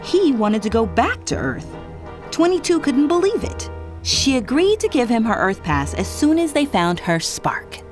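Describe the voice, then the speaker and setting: Expressive woman narrating over music, lively pitch. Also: moderate pace. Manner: expressive, dramatic storytelling. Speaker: storybook narrator. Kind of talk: scripted narration for a children's read-along.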